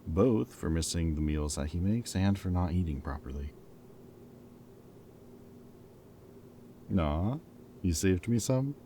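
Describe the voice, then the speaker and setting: A man's voice: sits low, expressive, normal speed, warm tone, slight background noise. A man acting a wry, loyal ex-villain thief in a roleplay audio drama, talking casually, both teasing and earnest. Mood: warm, casual.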